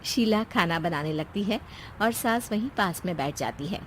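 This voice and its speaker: A woman's voice: fairly low pitch, expressive, normal speed. Woman narrating a story, faint background noise. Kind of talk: scripted narration of a comic Hindi story.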